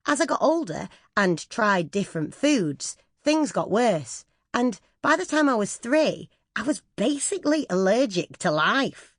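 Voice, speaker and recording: A woman's voice: fairly high pitch, sing-song, unhurried, hardly any pauses, no room sound, clean studio sound. A woman reading a scripted first-person memoir as an audiobook narrator.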